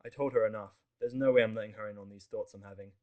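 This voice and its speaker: A man's voice: lowish voice, steady tone, fast, narrow, tinny audio. A man reading scripted first-person fiction as the narrator of an audio story.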